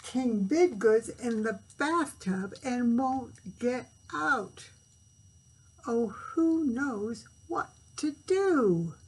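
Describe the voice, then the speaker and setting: A woman reading a storybook, voicing a frantic young boy page who cries out for help in a high, loud, drawn-out plea. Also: flat delivery, breathy edge.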